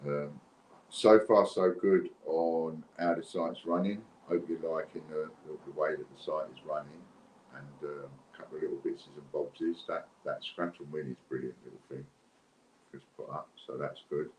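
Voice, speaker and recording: A man's voice: very deep voice, steady tone, moderate pace, a little mellow. A British man hosting a giveaway livestream in casual, unscripted, chatty and rambling talk. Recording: dull lo-fi, roomy echo, clear background noise.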